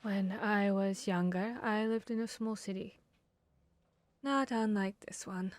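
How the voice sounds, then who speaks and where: A woman playing a guarded, bashful vampire hunter in an intimate ASMR roleplay, speaking earnestly and haltingly. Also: medium pitch, steady tone, dry recording, lightly acted. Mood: reflective, confiding. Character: guarded, bashful female vampire hunter confessing tender feelings. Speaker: audio roleplay voice actor.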